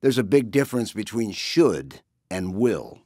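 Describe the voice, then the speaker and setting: A man's voice: on the low side, very animated, a little slow, a little mellow, dry recording, clean studio sound, voice pushed up. A man voicing a stern, cautious female starship captain in a blunt military manner, for an audiobook. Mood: firm, skeptical. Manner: firm, blunt, formal military.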